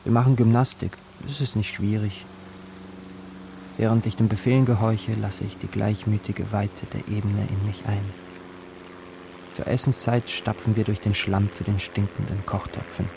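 A man's voice: fairly low pitch, steady tone, normal speed, creaky, noisy background. An actor playing a poor young man who narrates his own story in a radio drama, introspective and yearning. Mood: detached narrating. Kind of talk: literary first-person narration in radio drama.

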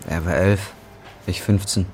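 A man's voice: deep voice, fairly even intonation, unhurried, over music. An actor playing a bitter, despairing injured roofer in his twenties in a radio drama, talking in crude slang. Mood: wry, weary. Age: 20s. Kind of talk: radio drama acting and first-person narration.

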